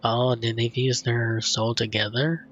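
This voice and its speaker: Male let's player, mid-pitched and casual, easily impressed, with game music clearly behind. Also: level tone, a little slow, dull audio. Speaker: gaming streamer. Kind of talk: casual let's play commentary.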